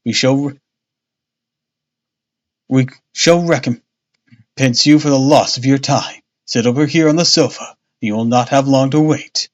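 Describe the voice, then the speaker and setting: Storybook role: man playing courteous, insistent gentleman sidekick, everyday male voice, slightly dull recording. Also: mid-range voice, a little slow, long pauses, natural voice. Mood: placating.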